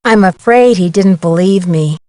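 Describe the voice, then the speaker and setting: A woman's voice: fairly low pitch, a little slow, warm tone, no room sound, close to an everyday voice. A woman voicing an inquisitive, earnest young amateur detective in a video game. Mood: earnest, curious.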